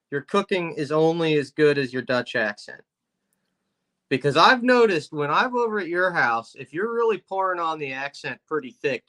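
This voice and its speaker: A man's voice: on the high side, bright, forceful tone. A man from Pennsylvania joking in a casual chat, delivering tall tales with a straight face. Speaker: co-host.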